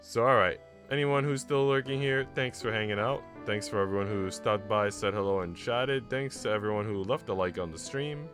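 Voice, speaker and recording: A man's voice: on the low side, moderate pace, hardly any pauses. A man streaming, reading a visual novel aloud and chatting casually. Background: music.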